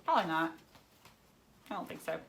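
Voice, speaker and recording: A woman's voice: low-pitched, big pitch swings, a little fast, vocal fry, a bit bright, slight background noise. A woman reading a picture book aloud to children, theatrically, with questioning asides to the young listeners.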